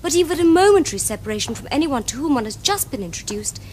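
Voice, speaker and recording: A woman's voice: high voice, very animated, fast talker, darkish tone, slight background noise. An actress playing a sweet, primly romantic young Victorian lady with an upper-class English accent and formal phrasing. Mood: wistful. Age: young adult.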